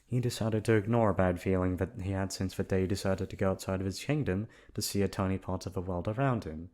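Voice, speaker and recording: A man's voice: sits low, fast talker, a little mellow. A man narrating a bedtime storybook tale as a voice actor, in an ASMR sleep-aid style.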